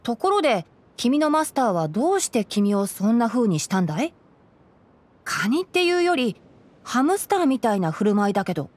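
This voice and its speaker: A woman voicing a calm, clever young male forest ranger with a composed, explanatory, boyish manner in an anime game. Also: a little high, fairly quick. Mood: gently teasing. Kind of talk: Japanese anime game voice acting.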